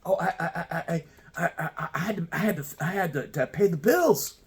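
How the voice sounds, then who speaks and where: A man's voice: a little high, a little fast, voice pushed up, natural voice. A man putting on the voice of a whiny, stammering adult man pleading excuses.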